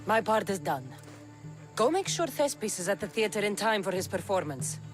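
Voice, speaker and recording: A woman's voice: medium pitch, big pitch swings, moderate pace, over music, close to an everyday voice. A woman playing a terse, guarded, determined mercenary in a video game set in ancient Greece. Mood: firm, serious. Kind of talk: video game cutscene acting.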